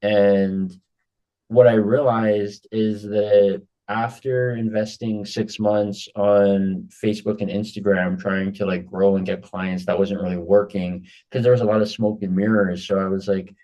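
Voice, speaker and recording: A man's voice: lowish voice, little pitch movement, unhurried, warm tone. A man from Hawaii, a guest on a business podcast, talking casually and often restarting his sentences. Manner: conversational, repeats words when restarting. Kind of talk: casual interview chat.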